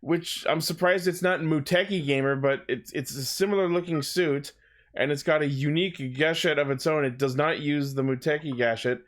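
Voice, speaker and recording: A man's voice: a little high, steady tone, normal speed, fairly bright tone. A man co-hosting a podcast, chatting casually about fandom news and swearing freely. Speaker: podcast co-host.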